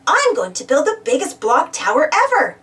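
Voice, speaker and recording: Woman playing an excitable, high-voiced young puppy. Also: moderate pace, distant mic, exaggerated voice. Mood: excited. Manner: exclaiming, excited. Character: excitable young female puppy who loves building.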